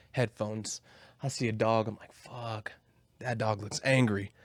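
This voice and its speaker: A man's voice: lowish voice, steady tone, slow, no room sound. A man in casual podcast banter, swearing and using slang as he jokingly acts out little scenarios. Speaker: podcast co-host.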